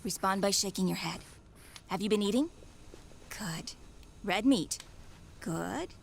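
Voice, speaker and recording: An actress playing a brisk, motherly visiting caregiver in a 1930s radio drama, reassuring and full of instructions. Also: mid-range voice, lively intonation, vocal fry, slight background noise. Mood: brisk, kindly.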